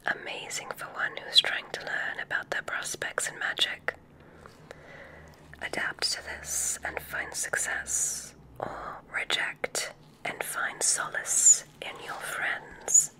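A woman whispering an ASMR roleplay as a kindly witch examiner, giving gentle, reassuring instructions in a soft, unhurried way. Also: poor audio. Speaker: ASMR performer in a witch medical exam roleplay.

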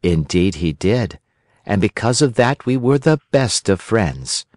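A man reading aloud voices a sly male weasel from a storybook who bargains in a smooth, coaxing way. His voice sits low and moves expressively. The sound is dry and close. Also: very animated, measured pace, darkish tone, dry recording. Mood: scheming.

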